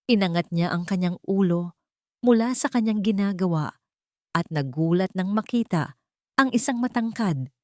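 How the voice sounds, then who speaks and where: A woman's voice: fairly low pitch, darkish tone, no echo, studio quality. A woman reading a scripted romance story as a dramatic narrator.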